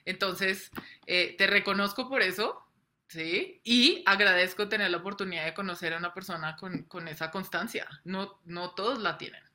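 A woman guest on a podcast, speaking by video call, earnest and often checking in with a quick question. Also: mid-range voice, pushed and bright. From Colombia. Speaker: guest, personal branding coach. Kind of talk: interview storytelling. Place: home in Colombia.

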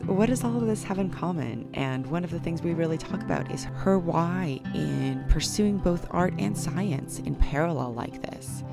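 A woman's voice: deep voice, creaky. A woman hosting an interview show, reading a scripted introduction and asking curious, enthusiastic questions. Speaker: podcast host.

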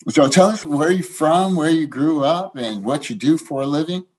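A man hosting an interview show, talking in an energetic, motivational way. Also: a little high, normal speed, husky, rough recording. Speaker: podcast host.

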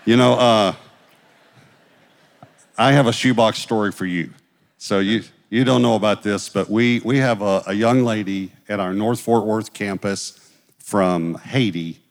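Low-voiced pastor interviewing in a hall. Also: male voice, measured pace, vocal fry. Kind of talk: conversational onstage interview. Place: large church auditorium, on stage. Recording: hall reverb, audience noise.

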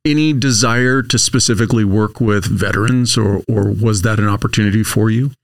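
A man's voice: on the low side, a little fast, creaky. A man hosting a podcast interview, asking questions in a conversational way.

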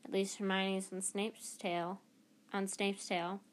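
A woman's voice: medium pitch, level tone, slow pace, lightly acted. A woman reading a children's novel aloud, voicing a determined teenage boy who speaks with urgent intensity.

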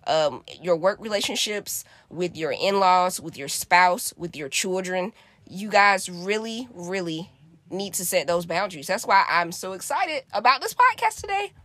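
A woman's voice: on the low side, expressive, measured pace, no room sound. A woman co-hosting a casual podcast, speaking emphatically and affirmingly. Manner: warm-hearted, emphatic, affirming. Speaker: podcast co-host.

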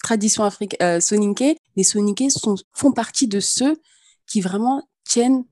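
A woman hosting a podcast, giving a scripted introduction and asking casual questions in a calm, measured way. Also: medium pitch. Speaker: podcast host.

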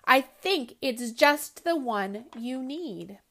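A calm, wise father in a children's storybook, as a woman reader voices him. He speaks gently and patiently at a slow, unhurried pace. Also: sits high, heavily acted. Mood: pleading.

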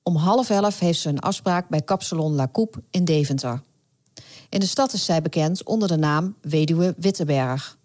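A woman narrates a scripted true crime story in a dry, monotone delivery. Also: low voice, moderate pace. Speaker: podcast narrator.

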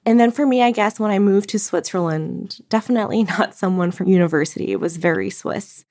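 Podcast co-host: woman, American-leaning accent, mid-range pitch, casual reflective talk, dry acoustics. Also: a little fast, darkish tone, no echo. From Thailand. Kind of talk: casual conversation, personal reflection.

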